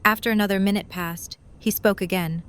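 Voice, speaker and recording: A woman's voice: medium pitch, steady tone, fairly quick, a bit bright. Story narrator, female, middle register, scripted prose over some background ambience. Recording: faint background ambient noise.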